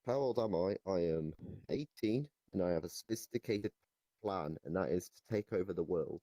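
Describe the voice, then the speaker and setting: A young man with a very deep, somewhat mellow voice improvises comedy in casual chat. He speaks deadpan and hesitantly, and the sound is very dry, with no room echo. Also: moderate pace. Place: online voice chat in a virtual reality game.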